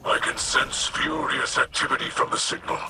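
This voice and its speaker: A man playing a gentle, scholarly alien ally in a video game, speaking in a hushed, breathy, measured and formal way. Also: background noise. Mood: solemn guidance. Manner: measured, formal, hesitant, wonder-filled. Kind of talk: scripted video game dialogue.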